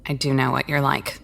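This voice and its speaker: An actress playing a caring, earnest human survey colleague, speaking gently and sincerely in an audio drama. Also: female voice, extremely low pitch, vocal fry, heavily acted.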